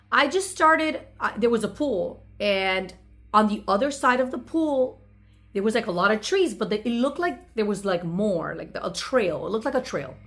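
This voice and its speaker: A woman's voice: medium pitch, a bit bright. A woman hosting her own channel, telling a personal story in a casual, conversational way, acting out bits of dialogue as she goes. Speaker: video channel host.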